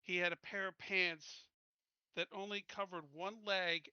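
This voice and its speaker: A man's voice: sits high, flat delivery, a little slow, sharp tone, clean tone, no room sound, highs cut off. A man chatting casually and bluntly as a player in a tabletop roleplaying game.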